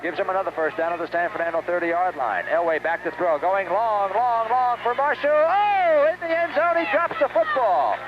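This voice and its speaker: Male American play-by-play announcer, high voice, live football radio call in clipped phrases, dull old broadcast sound. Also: expressive, moderate pace, hardly any pauses. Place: outdoors at a stadium. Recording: dull, noisy 1970s radio recording.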